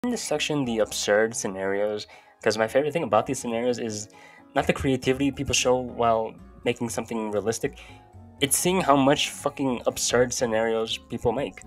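A man's voice: mid-range voice, a little fast. Young guy doing casual youtube commentary, sweary and irreverent, bit of music under it. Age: young adult. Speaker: YouTube video host. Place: small bedroom, indoors. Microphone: close desk microphone. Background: faint music.

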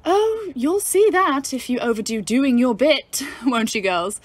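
A brash, aristocratic young British woman in a wartime radio play. Her voice is fairly high and swoops with mockery. She is bold, irreverent and salty. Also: lively intonation, unhurried, dry recording. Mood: teasing. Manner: bold, mocking, salty-tongued.

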